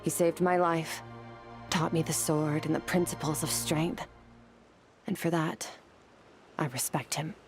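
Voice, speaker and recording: A woman playing a stoic young swordswoman in a video game, somber and driven, speaking in a grave, measured way. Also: deep voice, fairly even intonation, music in the background. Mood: grave, respectful. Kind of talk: scripted video game voice acting.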